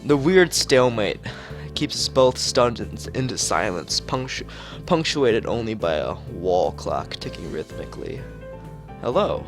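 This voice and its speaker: A man's voice: lowish voice, big pitch swings, measured pace, hardly any pauses, music in the background. A man reading visual novel text aloud as a streamer, sometimes stumbling and rereading phrases.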